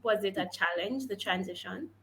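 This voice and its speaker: A woman's voice: medium pitch, normal speed, fairly bright tone, rough recording. A woman hosting an interview, asking questions in a conversational way and sometimes stumbling over words. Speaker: interview host.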